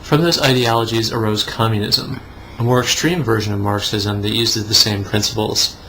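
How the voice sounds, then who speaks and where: A man's voice: lowish voice, steady tone, normal speed, pushed and bright, a little hiss or hum, poor audio. A young man reading aloud mock-seriously, with wry, sarcastic asides. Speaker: co-host.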